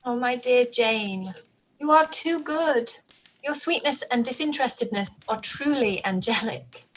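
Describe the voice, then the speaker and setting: A woman playing an earnest, opinionated young gentlewoman in a period radio play, speaking in a measured, articulate way, on a home recording. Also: a little high, animated, a little hiss or hum, rough recording.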